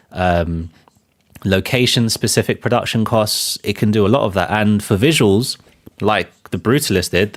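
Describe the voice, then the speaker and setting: A man hosting a live film and TV show, talking casually with a loose, rambling delivery full of fillers and restarts. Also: fairly low pitch. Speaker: show host.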